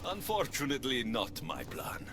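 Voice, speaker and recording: An actor playing an earnest, resolute hero in a video game, speaking directly and reassuringly. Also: male voice, medium pitch, big pitch swings, normal speed. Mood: wry, reflective. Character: earnest, resolute male warrior hero helping lost souls. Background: game soundtrack music.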